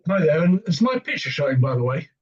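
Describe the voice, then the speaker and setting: A man introducing himself on a video call, hesitant and often clearing his throat. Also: on the high side, very animated, quick pace. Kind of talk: self-introduction in online meeting. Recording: muffled video call audio.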